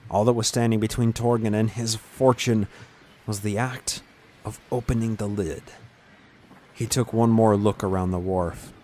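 A male narrator tells a fantasy adventure in a dramatic scripted style. His voice sits at a medium pitch, with ambient noise audible behind it. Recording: clear background ambient noise.

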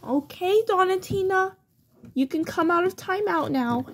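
A woman plays a strict, scolding mother in a homemade pretend-play skit. She has a very high, lively voice and speaks slowly. Her manner is firm and repetitive as she lays down the rules. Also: a little hiss or hum, exaggerated voice.

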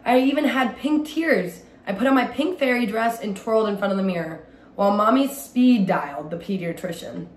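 A woman's voice: mid-range voice, lively intonation, moderate pace. Young woman reading a storybook, roomy sound. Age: young adult. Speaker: read-aloud storyteller. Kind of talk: expressive children's storybook reading. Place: small indoor room at home. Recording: roomy echo, light background noise.

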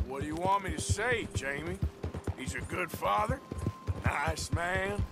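An actor playing a weary Old West outlaw in a video game, gruff and dry, with an American Western drawl. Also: male voice, high-pitched, expressive, unhurried. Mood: dryly exasperated. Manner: dry, sardonic, plain-spoken. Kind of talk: video game dramatic acting. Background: music, horse hooves.

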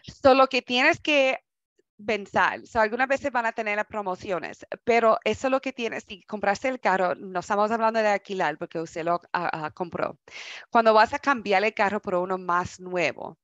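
A woman's voice: mid-range voice, normal speed, fairly bright tone, no echo, dull audio. A woman, an English speaker, presenting a financial workshop in conversational Spanish, restarting words and mixing in English terms.

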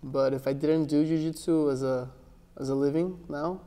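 A young man born in Miami and raised in Ecuador and Texas, answering interview questions in a soft, relaxed way, with lots of restarts and "like". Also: fairly high pitch, normal speed. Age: young adult. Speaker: interview guest, jiu-jitsu athlete. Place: gym with large windows, indoors. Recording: long reverb from a large room.

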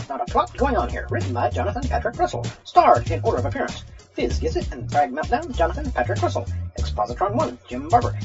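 A quick-talking announcer reads scripted drama credits with a hugely varied pitch. Music plays behind, and the sound is a little dull. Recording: dull audio under loud music.

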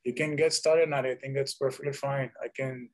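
A man's voice: medium pitch, fairly even intonation, brisk. A man joining as a guest speaker, politely thanking the host on a video call.

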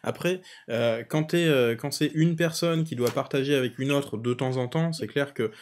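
A man's voice: mid-range voice, moderate pace, a little hiss or hum. A man hosting a livestream, chatting casually with his guest, mostly with short backchannels and easy follow-up remarks. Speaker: livestream host. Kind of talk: casual chat.

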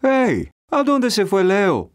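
A woman's voice: mid-range voice, wildly swooping pitch, slow pace, soft background music. A woman voicing a mild-mannered young child, a playmate in a children's cartoon dub. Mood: mildly surprised.

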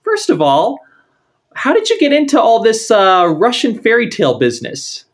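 A man's voice: high voice, huge pitch swings, normal speed. A man hosting an interview, reading a scripted intro and then chatting with his guest. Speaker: podcast host.

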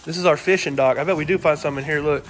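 A patient, encouraging father talks through instructions while filming on his phone outdoors on a lake dock. His voice sits a touch high for a man. Clear wind noise rushes across the phone mic the whole time. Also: fairly even intonation. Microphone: phone held while filming.

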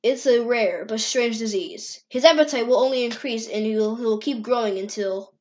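A woman's voice: on the high side, moderate pace, a little mellow, slight background noise, close to an everyday voice. Woman voicing an eccentric male cartoon doctor, muffled sound. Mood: explaining. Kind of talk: amateur comic dub acting.

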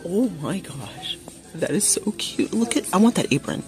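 A woman vlogging as she shops in a big home goods store, chatting casually to viewers with frequent gasps and excited exclamations. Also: fairly low pitch, normal speed, over music. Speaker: shopping vlogger. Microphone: phone camera held while shopping.

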